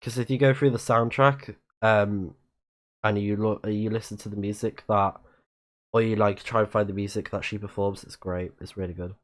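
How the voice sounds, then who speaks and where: A man's voice: lowish voice, steady tone, normal speed. A man with a slightly scratchy voice, chatting casually as he streams a game and reads its text aloud. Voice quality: a bit scratchy. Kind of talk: casual live let's play, reading game texts aloud.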